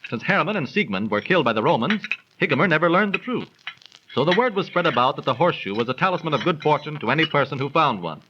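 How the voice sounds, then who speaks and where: An actor playing a knowing storyteller who explains a tale to friends in a 1930s radio drama, on an old recording. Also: male voice, on the high side, big pitch swings, quick pace, dull audio, noisy background. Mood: explanatory. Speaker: narrator.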